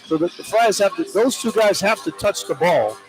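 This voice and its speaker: A man's voice: high-pitched, animated, normal speed, music in the background, rough recording. A man working as a radio color analyst, talking through a basketball game in a conversational way. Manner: repeats and restarts phrases to explain tactics. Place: indoor basketball arena.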